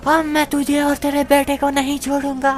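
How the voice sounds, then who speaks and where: Woman doing a nasty threatening villain, raised high voice, melodrama story style, bit of music under it. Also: completely flat delivery, normal speed, lightly acted. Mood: menacing. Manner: threatening, forceful. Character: menacing murderous villain, vengeful and commanding.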